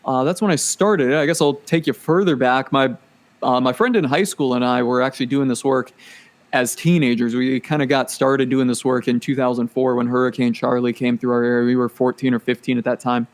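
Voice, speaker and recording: A man in his late twenties, a podcast guest chatting casually and telling stories with animated energy and easy laughter. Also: mid-range voice, brisk. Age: 20s. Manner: animated storyteller, laughs easily. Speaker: podcast guest, business owner. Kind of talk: casual interview chat.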